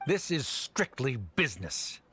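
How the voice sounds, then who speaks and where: An actor playing a cold, middle-aged scholarly father in an anime game, formal, haughty and husky. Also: medium pitch, sing-song, a little slow, pushed and bright, exaggerated voice. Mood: cold, commanding. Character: stern, cold scholarly father, dismissive authority.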